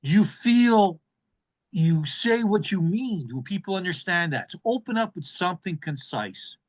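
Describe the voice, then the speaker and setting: Slow, lively man giving a speech evaluation. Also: a little high, slightly rough. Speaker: speech evaluator at a public speaking club.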